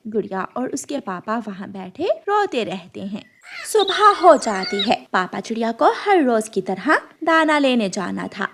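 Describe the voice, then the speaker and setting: A woman narrating a scripted children's story in an expressive storytelling style. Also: fairly high pitch, measured pace, slight background noise.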